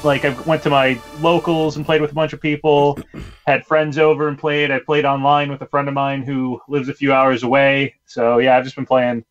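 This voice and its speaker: A man's voice: medium pitch, flat delivery, moderate pace, soft background music, poor audio. A man guesting on a gaming podcast, chatting in an easygoing, upbeat way. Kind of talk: casual chat.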